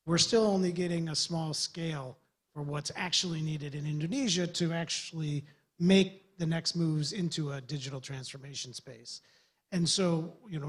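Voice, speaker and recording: A man's voice: on the high side, husky. A man from the USA, a government development official, giving earnest, loosely structured remarks on a panel. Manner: earnest, rambling with restarts.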